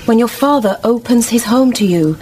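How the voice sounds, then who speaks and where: An actress playing a gentle, dutiful gentleman's wife in a radio drama, composed and consoling. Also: fairly high pitch, lively intonation, normal speed, soft background music, close to an everyday voice. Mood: kindly, persuading.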